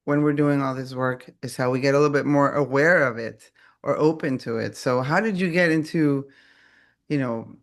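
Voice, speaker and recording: A man's voice: medium pitch, moderate pace. A man hosting a podcast, chatting casually and affirming his guest. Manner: relaxed, casual, affirming interjections. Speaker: podcast host. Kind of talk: casual conversational interview.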